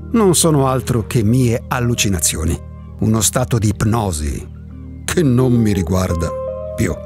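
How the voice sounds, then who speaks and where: An actor in a radio drama playing an eccentric, disillusioned male recluse who muses philosophically with theatrical rhetorical questions, in a husky voice. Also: mid-range voice. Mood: resigned.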